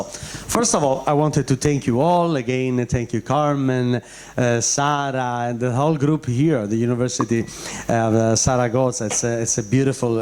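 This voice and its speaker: Guest professor: Italian-accented man, unhurried and halting, informal lecture opening with repeated words, medium pitch. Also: darkish tone. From Italy. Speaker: guest speaker, university professor. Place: university seminar room.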